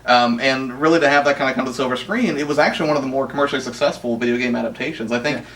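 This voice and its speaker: A man's voice: mid-range voice, very fast, a bit bright. A man co-hosting a video game show, chatting casually about games and movies. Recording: distant mic, faint background noise.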